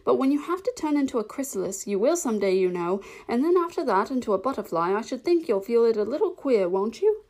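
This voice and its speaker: A woman's voice: a little high, big pitch swings, a little fast, few pauses, dark tone. A woman voicing a storybook little girl who is polite, puzzled and a little hesitant. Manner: polite, shy, hesitant, wordy.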